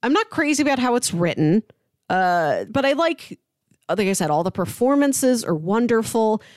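A woman co-hosting a podcast, giving opinions on a show in casual, animated chat peppered with "like". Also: fairly high pitch, normal speed, dry recording, studio quality. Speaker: podcast co-host reviewing a TV drama.

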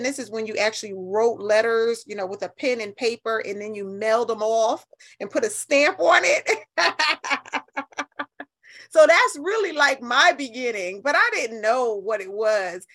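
A woman in her fifties with an American accent, telling stories conversationally in an animated, emphatic, colloquial way as an interview guest. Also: on the high side, measured pace. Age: 50s. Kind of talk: conversational storytelling.

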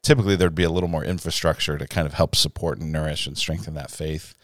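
Very deep-voiced male interviewer. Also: steady tone, fast, no echo, studio quality. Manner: affirming, many short backchannels. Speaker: interviewer, host. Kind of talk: casual conversational interview.

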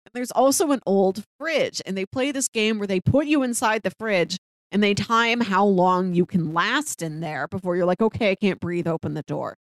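A woman's voice: medium pitch, normal speed, dry recording. Woman chatting casually, close dry sound. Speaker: podcast co-host. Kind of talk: casual chat about a TV drama.

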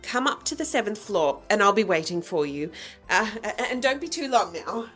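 A woman's voice: medium pitch, lively intonation, moderate pace, a bit bright, soft background music, natural voice. An actress playing an officious, chirpy department store supervisor woman in a radio drama, fussy and instructing. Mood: bossy, cheery. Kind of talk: old-time radio drama acting.

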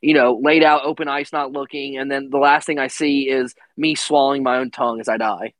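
A man's voice: medium pitch, steady tone, a little fast, no echo. A man co-hosting a casual podcast chat, rambling and laughing as he talks, stacking up "I mean". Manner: rambling, laughs while talking, repeats "I mean". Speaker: podcast co-host.

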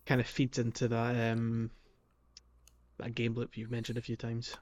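A man's voice: medium pitch, completely flat delivery, normal speed, a little mellow. A man co-hosting a podcast in casual conversation about video games, on a slightly dull recording. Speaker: podcast co-host. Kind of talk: casual two-man video game discussion.

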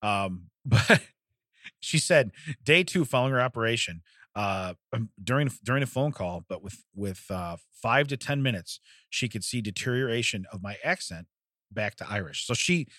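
A man's voice: medium pitch, lively intonation, moderate pace, a bit bright, no echo, studio quality. A man in his forties co-hosting a comedy podcast, chatting casually and reading out odd news with rambling, jokey asides. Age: 40s.

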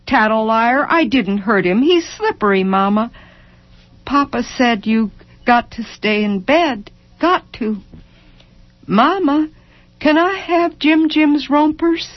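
Little tattling child, put on by a female narrator, high-pitched, anxious, whiny, simple words. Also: expressive, slow, dry recording, muffled recording. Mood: whining, tattling. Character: anxious, whining small children tattling to Mama.

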